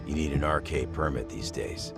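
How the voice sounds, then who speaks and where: A streetwise gangster from a video game, blunt and slangy. His voice is extremely low and full of gravel, with music playing clearly underneath. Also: normal speed. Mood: wry, blunt. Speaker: voice actor in an English dub. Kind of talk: video game cutscene dialogue. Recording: music behind the voice.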